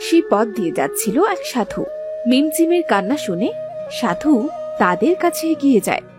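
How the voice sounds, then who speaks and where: A woman's voice: on the high side, big pitch swings, normal speed, music in the background. A woman narrating a scripted children's story.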